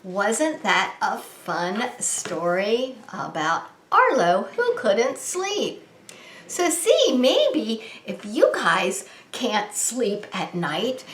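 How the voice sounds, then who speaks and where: A woman hosting a preschool story time, talking to young children in a gentle, sing-song, encouraging way. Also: medium pitch, slight background noise. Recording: roomy, echoing indoor sound.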